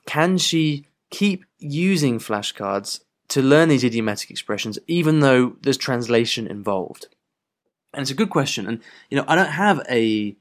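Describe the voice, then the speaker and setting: A man with an English accent hosts a podcast in a casual, rambling manner, full of fillers and restarts. His voice comes through a broken microphone with poor sound. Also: mid-range voice. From England. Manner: chatty, loose, full of "you know" fillers and restarts. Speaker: podcast host.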